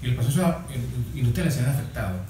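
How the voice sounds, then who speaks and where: A man's voice: mid-range voice, expressive, rapid-fire, recorded from a distance. A Venezuelan man explaining his work informally as an interview guest. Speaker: interview guest with conservation experience. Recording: very echoey, off-mic, faint noise.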